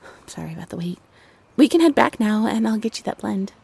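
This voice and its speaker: A woman playing a polite, shy owl shopkeeper in a romantic roleplay audio drama, speaking gently and hesitantly. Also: medium pitch, expressive, a little fast, vocal fry, breathy edge, exaggerated voice. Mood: friendly, polite.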